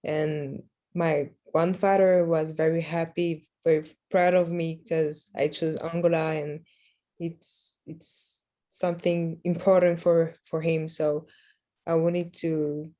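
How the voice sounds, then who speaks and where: A woman's voice: low voice, level tone, slow pace, dark tone. A teenage girl from Switzerland who plays basketball, answering interview questions in a hesitant way and repeating words while she searches for how to say things. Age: teens. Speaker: basketball player guest.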